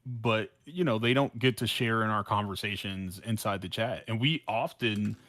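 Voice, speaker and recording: A man's voice: on the low side, moderate pace, a bit bright, no echo, clean studio sound. Playful, slangy man in casual podcast chat. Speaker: podcast co-host.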